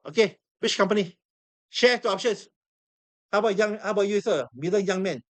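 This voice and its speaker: A man with a Singaporean English accent teaching a live trading class. He is hoarse from losing his voice, repeats himself often and throws questions at the room. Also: high voice, a little fast. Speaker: trading instructor.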